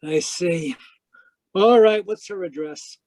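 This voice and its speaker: An actor playing a hard-boiled private detective in old-time radio style, wry, clipped and matter-of-fact. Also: male voice, sits high, takes it slowly, a little mellow. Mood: brisk. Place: home, live video call performance.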